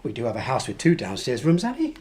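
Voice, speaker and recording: A man's voice: medium pitch, wildly swooping pitch, fast talker, a little mellow, a little hiss or hum. An actor playing a curt, evasive middle-aged English husband and father in a radio drama, domineering but hesitant when questioned. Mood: irritable, curt. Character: evasive, irritable husband and father hiding guilt.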